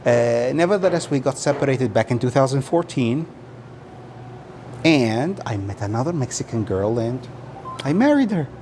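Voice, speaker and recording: A man's voice: medium pitch, lively intonation, moderate pace, a little mellow. Guy from saudi, arabic is his first language, chatting as an interview guest, bit hesitant, some noise behind him. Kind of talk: casual interview answers.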